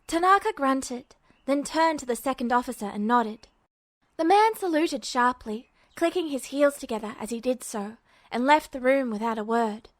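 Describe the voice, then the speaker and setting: Woman, podfic narration, high voice, steady even reading, dry close recording. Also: moderate pace, dry recording. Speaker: fanfiction reader.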